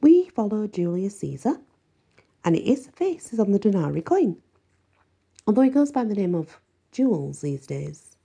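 A woman reading an audiobook, voicing a smug, unbalanced ancient male mummy with slow theatrical delivery. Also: mid-range voice, big pitch swings, creaky, dark tone, slightly rough, pitched above the performer's own voice, heavily acted. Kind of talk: audiobook character voice.

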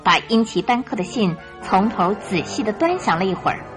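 Woman narrating a radio drama over soft music. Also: mid-range voice, expressive, brisk.

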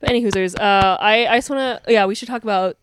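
A woman's voice: mid-range voice, fast talker, smooth, no echo. A woman co-hosting a podcast in casual chat, chatty, rambling and playful. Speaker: podcast co-host.

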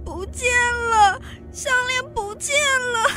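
An actress playing an anxious, flustered and plaintive young wife in a literary radio drama. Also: female voice, very high-pitched, slow, pushed and bright, soft background music, exaggerated voice. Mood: panicked, tearful. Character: distraught young wife who lost a borrowed necklace.

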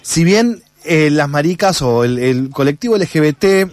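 A man with an Argentine accent and a fairly high voice answers in an interview. He talks conversationally, thinking as he goes and often rephrasing. The sound is dry, with very little room in it. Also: lively intonation, moderate pace, a little mellow, no room sound, faint music behind. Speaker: interviewed actor and content creator.

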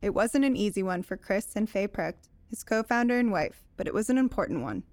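A woman's voice: medium pitch, expressive, brisk, darkish tone, dry recording. A woman reading scripted narration as the host of an interview programme.

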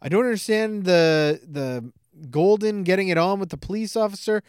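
A podcast co-host recapping and reacting to a film in casual, animated chat with frequent swearing. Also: medium pitch, normal speed, clear, resonant tone, dry recording, studio quality.

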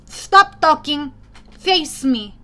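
A woman voicing a menacing male monster posing as a doctor, in a slow, formal, commanding manner for an audiobook. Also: high voice, expressive, sharp tone, pitched above the performer's own voice, heavily acted.

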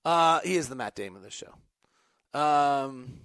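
A man's voice: medium pitch, lively intonation, unhurried, a bit bright, clean tone, dry recording. A man hosting an unscripted sports talk show, thinking aloud in choppy, emphatic phrases. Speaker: sports podcast host. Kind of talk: unscripted basketball talk, answering fan questions.

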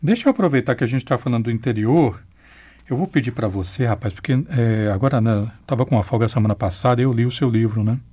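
A man's voice: medium pitch, fast talker, dark tone, breathy edge. A man, chatting casually as an interviewer.

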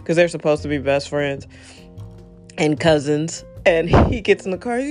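A woman's voice: low-pitched, normal speed, a little mellow, soft background music. A middle-aged woman hosting her own show, talking off the cuff with frequent laughs and wandering asides. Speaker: podcast host. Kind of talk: unscripted solo talk.

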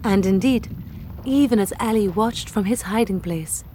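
A woman playing a solemn storyteller, giving measured, portentous narration of an ancient tale in an audio drama. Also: medium pitch, noisy background, lightly acted. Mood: solemn, wondrous. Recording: faint ambience behind voice.

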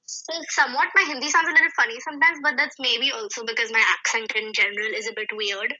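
A woman's voice: on the high side, fairly even intonation, fast, pushed and bright, husky, dull audio. A young woman from India chatting as a guest, rambling with many restarts and fillers. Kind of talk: casual chat.

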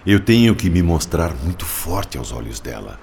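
An actor playing a stubborn, lovesick, ailing old man brooding aloud to himself in a radio drama, with a gravelly voice. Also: low voice, very animated, darkish tone, slight background noise, heavily acted. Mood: stubborn resolve. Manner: slow, brooding, talking to himself. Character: sick, infatuated old man, stubborn and self-deluding. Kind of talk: radio drama inner monologue.

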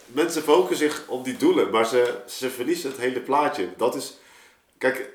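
A man talking casually and reflectively, halting as he searches for words. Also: mid-range voice, lively intonation. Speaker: musician in a podcast discussion. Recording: roomy, echoing sound.

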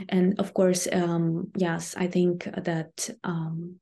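A young woman from Ukraine with a Ukrainian accent, answering interview questions hesitantly, with many fillers and pauses. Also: on the low side, little pitch movement, gravelly, dark tone. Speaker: interview guest.

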